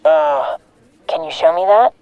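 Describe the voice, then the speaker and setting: A woman's voice: low voice, measured pace, clean tone, no echo, phone-quality sound. A woman playing a blunt, sardonic young woman with a sweary, irritable manner in a drama. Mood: irritated, impatient. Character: brash, foul-mouthed, irritated young woman customer. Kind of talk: scripted drama dialogue.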